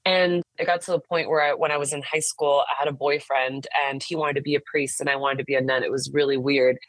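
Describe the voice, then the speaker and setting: A woman's voice: rumbling low, flat delivery, fast talker, dry recording. A woman in her thirties from the United States, a therapist telling her own story in an open, frank, casual way, often saying "like". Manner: open and frank, frequent "like" fillers. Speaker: therapist and intimacy coach, podcast guest. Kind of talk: candid personal story in casual conversation.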